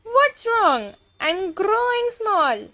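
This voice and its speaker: A woman's voice: sits high, animated, unhurried, fairly bright tone, no room sound. A woman voicing alarmed, bewildered children and teachers from a storybook, exclaiming with melodrama. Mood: panicked. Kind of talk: storybook character voices.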